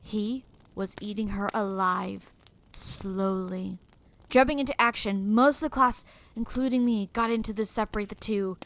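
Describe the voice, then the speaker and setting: A woman's voice: mid-range voice, normal speed, a bit bright, poor audio. Woman narrating horror, grave and dramatic. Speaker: storyteller.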